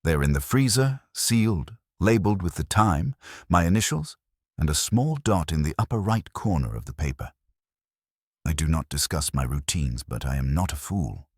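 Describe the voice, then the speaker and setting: A man narrating an audiobook as a proud, fastidious household cook, precise and dignified. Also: extremely low pitch, sing-song, breathy edge, dry recording, studio quality, full character voice.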